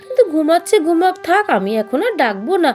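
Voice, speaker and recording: A woman's voice: extremely high pitch, big pitch swings, fast, a little mellow. A woman voicing a busy young village housewife in a children's doll cartoon, chattering to herself in a homely way about chores.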